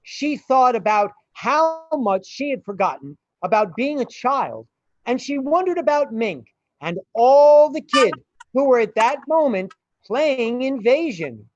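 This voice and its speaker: A woman's voice: high-pitched, big pitch swings, a little slow, highs cut off. A woman narrating a scripted story in a radio play.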